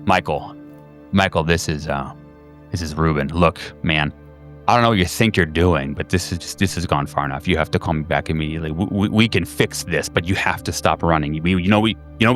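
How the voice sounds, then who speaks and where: A man's voice: low-pitched, brisk, fairly bright tone, soft background music. A man voicing an urgent, stammering man who pleads insistently on a phone message in a horror tabletop game. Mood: urgent pleading.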